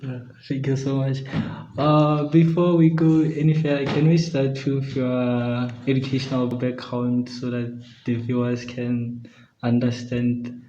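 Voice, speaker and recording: A man's voice: medium pitch, level tone, a little slow, dark tone, a little hiss or hum. Male podcast host in an echoey room. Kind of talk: interview with a guest. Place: restaurant. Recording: dull, roomy, echoing sound.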